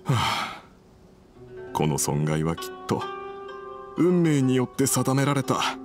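A foreign merchant from a video game, troubled and apologetic. His speech is formal and hesitant, rising and falling expressively over clearly audible music. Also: mid-range voice, sing-song, takes it slowly. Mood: dejected, apologetic. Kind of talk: Japanese game dub dialogue.

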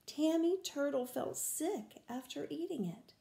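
Storyteller, older woman, slow expressive children's reading, slightly high mellow voice. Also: gravelly, reverberant, a little hiss or hum. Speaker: read-aloud storyteller. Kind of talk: children's picture-book reading. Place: small room at home, indoors.

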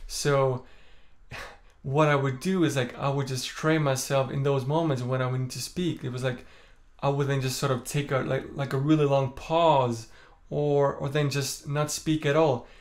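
A young Estonian-born man speaks calmly and softly to a camera set up in front of him in a small room. His talk is candid and unhurried, sprinkled with "like" and "you know" as he tells his story. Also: medium pitch. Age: young adult. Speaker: vlogger. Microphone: camera in front at chest framing.

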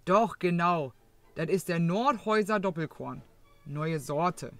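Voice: medium pitch, measured pace, fairly bright tone, faint music behind. A performer playing a coarse, jaded working-class mother, grumbling bluntly in a parody audio drama. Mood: wary, blunt.

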